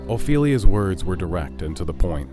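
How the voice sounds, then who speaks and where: A man narrates a fantasy audio drama from a script. His voice is very low. Music plays clearly underneath the narration. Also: big pitch swings, moderate pace.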